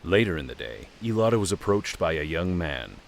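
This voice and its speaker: A man's voice: low voice, very animated, brisk, vocal fry, a little hiss or hum. A man narrating a fantasy audio drama in a dramatic scripted storytelling style.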